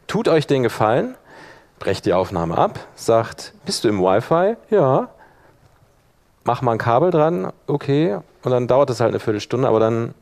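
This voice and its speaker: A middle-aged man giving an informal software demonstration, conversational and thinking aloud as he works. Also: medium pitch, very animated, normal speed, gravelly. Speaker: workshop presenter, podcaster. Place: indoor workshop room, seated at a computer.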